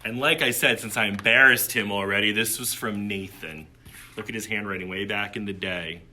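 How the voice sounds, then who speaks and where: A man's voice: fairly low pitch, steady tone, moderate pace, bright, forceful tone, a little hiss or hum. A man hosting a casual livestreamed story time for children, playful and chatty, talking straight to his viewers.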